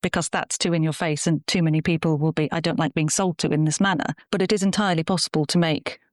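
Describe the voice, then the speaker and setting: A woman's voice: deep voice, fairly even intonation, quick pace, dry recording, studio quality. A woman talking earnestly and giving her opinions as an interview guest who produces audio drama. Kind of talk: conversational interview.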